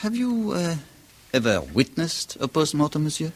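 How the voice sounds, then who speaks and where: An actor playing a measured, ironic French doctor with a French accent in a 1970s radio drama. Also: male voice, fairly high pitch, huge pitch swings, exaggerated voice. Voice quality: deliberate, ironic, softly theatrical. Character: suave older male French doctor, measured and wry. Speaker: physician.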